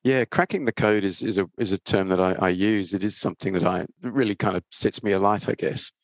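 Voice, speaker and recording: A man with an Australian accent, talking casually as an interview guest, often restarting his phrases haltingly. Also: on the low side, dry recording. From England, then Australia from age thirteen. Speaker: interview guest, trading educator.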